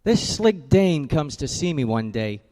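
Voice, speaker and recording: A hard-boiled private eye. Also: male voice, a little high, very animated, measured pace, dark tone, in a large hall, soft background music. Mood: wry. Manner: clipped, wry and tough. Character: hard-boiled male private detective narrator. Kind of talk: 1940s radio acting.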